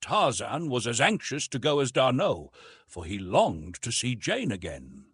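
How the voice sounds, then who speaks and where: Male audiobook narrator, middle pitch with very lively movement, husky tone, dry close recording. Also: unhurried, no echo. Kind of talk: scripted narration of an adventure novel.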